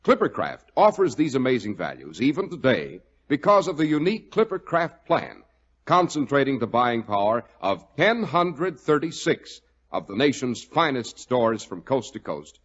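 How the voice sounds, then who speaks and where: A male American announcer with a mid-pitched, husky voice and very varied intonation. He presents in polished, emphatic 1940s radio style. The sound is a muffled vintage broadcast recording. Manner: polished, emphatic sales delivery.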